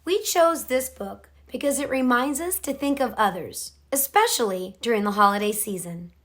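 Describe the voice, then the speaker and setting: A woman narrates a children's storybook with a lively, swooping melody. She takes her time over each phrase, and her slightly high voice has a husky, breathy edge. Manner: expressive, measured read-aloud delivery. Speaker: picture book reader.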